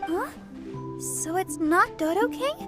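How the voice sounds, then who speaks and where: A woman's voice: very high voice, animated, slow, over loud music, full character voice. A woman playing a sweet, considerate and eager young girl in a video game, with a light, girlish voice. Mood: curious.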